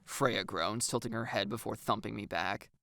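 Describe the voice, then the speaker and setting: A narrator reading a first-person story with acted dialogue, in an expressive and wry storytelling manner. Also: medium pitch, normal speed, vocal fry, dry recording, studio quality.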